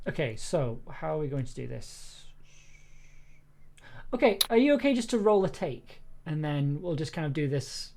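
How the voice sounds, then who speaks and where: A man with quite a high-sitting voice for his sex directs a recording session on a stream. He is casual and encouraging, and hesitates as he searches for words. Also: very animated, normal speed, gravelly, darkish tone.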